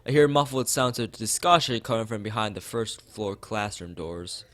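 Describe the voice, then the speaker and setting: A man's voice: lowish voice, expressive, moderate pace. A man reading visual novel text aloud as a let's player, often stumbling and rereading phrases. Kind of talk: reading game text aloud, narration and dialogue. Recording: guitar music behind the voice. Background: loud guitar game music.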